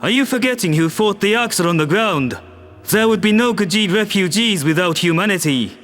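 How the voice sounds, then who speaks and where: A man voicing a calm, firm human world leader who speaks in a measured, persuasive way. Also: high-pitched, faint music behind. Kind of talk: audio drama acting.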